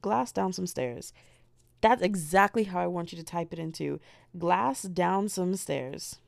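Young american woman rambling on her podcast, a bit of vocal fry, pretty low, dry close sound. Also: a little slow, no echo. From United States. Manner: rambling, self-deprecating, giggly. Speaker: podcast host. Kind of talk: solo podcast chat.

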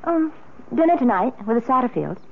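Polished middle-aged American society wife: witty, arch, theatrical, swooping intonation, tinny vintage radio sound. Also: female voice, on the high side, sing-song, fairly quick, creaky, slight background noise. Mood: breezy, teasing. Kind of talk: 1970s radio drama acting. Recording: narrow, phone-like old radio sound.

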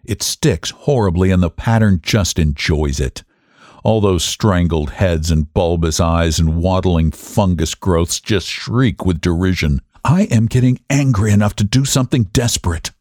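A man's voice: low voice, a little slow, a little mellow, no echo, studio quality. A man reading dramatically as an unhinged, secretive, defiant woman narrating a gothic story. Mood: brooding, agitated. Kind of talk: dramatic first-person audiobook reading.

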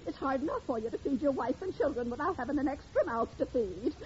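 Touchy, self-pitying spinster, very high-pitched and melodramatic, on a dull vintage radio recording. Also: female voice, animated, quick pace, a little mellow. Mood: self-pitying, wounded. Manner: whiny, martyred, melodramatic. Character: weepy, self-pitying, touchy unmarried sister, easily wounded. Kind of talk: 1940s-50s radio comedy acting.

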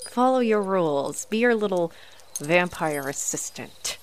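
A woman's voice: lowish voice, lively intonation, measured pace, a little hiss or hum. A woman playing an aggravated, haughty, flirtatious female vampire in a scripted audio roleplay, with mocking, theatrical delivery. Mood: irritated, bargaining. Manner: mocking, sardonic, theatrical taunting.

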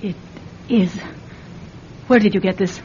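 A woman's voice: medium pitch, fairly even intonation, measured pace, mellow, close to an everyday voice. An actress playing an anxious, earnest immigrant mother in an old-time radio drama, wary and pleading. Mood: shaken, pleading. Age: adult. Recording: dull, noisy old radio recording.

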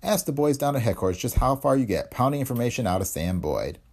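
A man's voice: medium pitch, very animated, fast, a little mellow, pitched above the performer's own voice. A man voicing a cocky, sardonic, hard-headed investigator in a hardboiled detective story, wry and tough-talking. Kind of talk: hardboiled detective audiobook dialogue.

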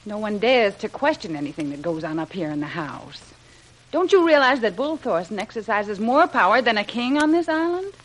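A woman's voice: mid-range voice, very animated, moderate pace, poor audio. An actress playing a tough, sardonic, wisecracking woman spy in a slangy, sarcastic style of 1940s radio adventure drama. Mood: cool, businesslike.

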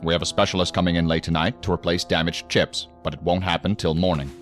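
An actor playing a stern, commanding federal agency superior in a science fiction audio drama, speaking in a formal, clipped, authoritative way. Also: male voice, sits low, little pitch movement, fast talker, a bit bright, soft background music. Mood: stern, official.

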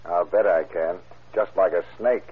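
An actor playing a shrewd, sardonic police sergeant who questions dryly in a 1940s style radio crime drama. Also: male voice, low-pitched, steady tone, a little slow, breathy edge. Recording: tinny, muffled old radio recording.

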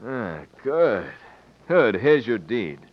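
A man playing a smooth-talking, crooked land agent in an old American radio western, glib and businesslike, with an American accent. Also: mid-range voice, big pitch swings, slow pace. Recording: dull old radio recording.

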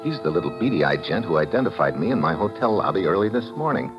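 A tough American adventurer from a vintage radio drama. His voice is very low, with terse, sardonic, world-weary delivery. The old recording is dull, with music clearly behind it. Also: lively intonation, fast. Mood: tense narrating. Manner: hard-boiled, terse, sardonic. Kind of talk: 1950s radio drama acting, first-person noir narration.

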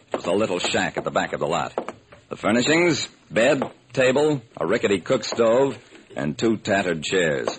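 Vintage radio detective hero played by a man, wry and sharp, lively swooping inflection, noisy old recording. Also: medium pitch, natural voice. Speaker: narrator and lead actor. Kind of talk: old-time radio detective narration and acting.

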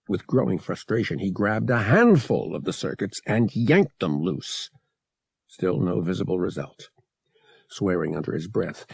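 Gravelly, expressive man narrating an adventure audiobook. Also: on the low side, no echo, clean studio sound. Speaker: audiobook reader. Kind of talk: dramatic scripted narration of an adventure novel.

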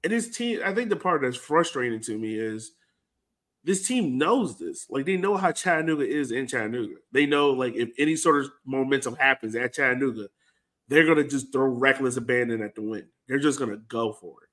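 A man's voice: mid-range voice, animated, fairly quick, a bit bright. Man in casual soccer fan chat. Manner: conversational, heavy use of "like" and "you know". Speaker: soccer fan podcast host. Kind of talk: casual sports talk, breaking down a match.

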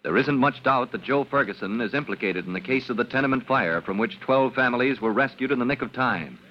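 A man's voice: medium pitch, a little fast. A man announcing in old-time radio style, with a dramatic, polished delivery. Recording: dull, hissy old radio recording.

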